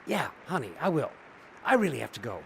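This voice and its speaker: Gravelly, harried stage magician played by a man. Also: mid-range voice, very animated, fairly quick, a bit bright, slight background noise, poor audio. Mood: hurried, placating. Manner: hesitant, placating, sarcastic. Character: harried, evasive stage magician and absent father. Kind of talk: audio drama acting.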